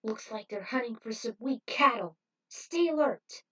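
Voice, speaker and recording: A woman voicing a brash, excitable bounty hunter, loud and emphatic, in an amateur story reading. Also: on the high side, a little slow, husky, distant mic, exaggerated voice. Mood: urgent.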